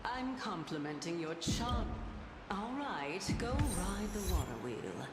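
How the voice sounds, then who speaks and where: Brief: a teasing sage, low and very slow with a lively lilt, roomy game audio and loud music. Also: female voice, heavy background noise. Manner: teasing, playful, amused. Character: teasing, knowing female sage.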